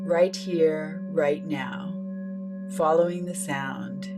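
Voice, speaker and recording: A woman leading a guided meditation in a gentle, soothing manner, pausing between short phrases. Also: medium pitch.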